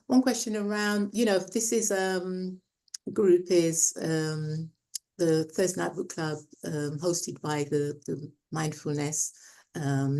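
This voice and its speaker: A woman asks a question in a casual discussion. Her voice is a little low and slightly mellow, and she feels her way through hesitant, roundabout phrasing. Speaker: book club participant asking questions.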